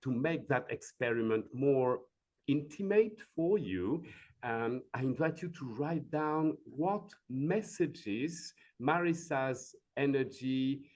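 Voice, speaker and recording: A man with a mid-range voice guides a live coaching session, gentle and inviting. He fills his speech with small fillers and checks in often with his listener. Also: medium pitch, takes it slowly. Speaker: executive coach.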